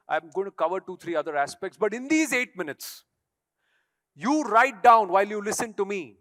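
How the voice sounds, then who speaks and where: Emphatic Indian man, high voice in an echoing hall. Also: fairly bright tone. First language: Tamil. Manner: emphatic, rhetorical, challenging the audience. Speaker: speaker. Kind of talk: motivational stage speech. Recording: echoey hall.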